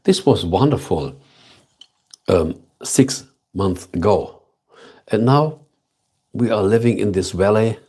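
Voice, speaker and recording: Slow, soft-spoken German man. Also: fairly low pitch, expressive, breathy edge. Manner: slow, thoughtful, repeats himself, pauses with fillers. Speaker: livestream host. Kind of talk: casual live stream chat reading viewer comments.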